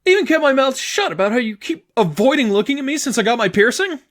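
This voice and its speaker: Man as a teenage girlfriend, raised pitch, upset, exasperated, bitter, sarcastic, emphatic, audiobook dialogue. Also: sing-song, fast talker, fairly bright tone, full character voice. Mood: bitter, indignant. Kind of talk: fan fiction audiobook dialogue.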